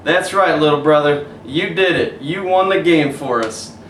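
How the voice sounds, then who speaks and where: A man's voice: fairly high pitch, a little slow, a bit bright, voice pushed up. A man voicing a confident, upbeat older brother rallying his team in a children's storybook. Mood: proud. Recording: roomy, distant mic, faint hiss.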